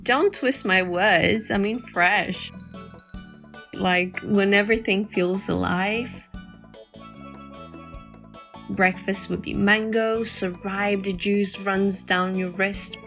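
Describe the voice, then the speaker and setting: Romantic audio drama lead: actress as playful young woman, teasing, intimate, slow delivery over soft music. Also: mid-range voice, fairly even intonation. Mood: dreamy, playful.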